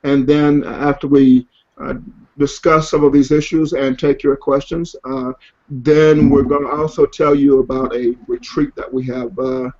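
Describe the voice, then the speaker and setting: A man hosting a webinar informally, introducing guests in a halting, ad-libbed way. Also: mid-range voice, little pitch movement, dark tone. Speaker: webinar host. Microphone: online webinar connection. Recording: dull lo-fi webinar audio.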